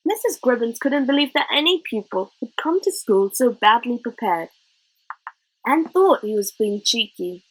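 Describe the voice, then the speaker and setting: A woman reading a children's novel aloud as narrator, in an expressive storybook style. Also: on the high side, normal speed, poor audio.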